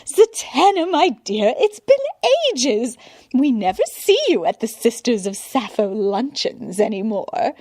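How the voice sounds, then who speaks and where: A woman playing a shrill gushing society matron. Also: animated, a little slow, darkish tone, no room sound. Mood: effusive.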